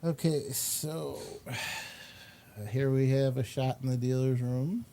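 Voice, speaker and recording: A man hosting a talk show in casual chat, with drawn-out, emphatic repetition. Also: medium pitch, fairly even intonation, warm tone. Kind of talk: casual chat, commenting on pictures. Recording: clear background noise.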